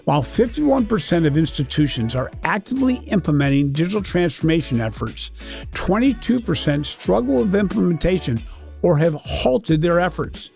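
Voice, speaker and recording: Man, podcast host, animated delivery, gravelly, slightly high voice, faint music behind. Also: normal speed. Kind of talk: scripted podcast intro and interview questions.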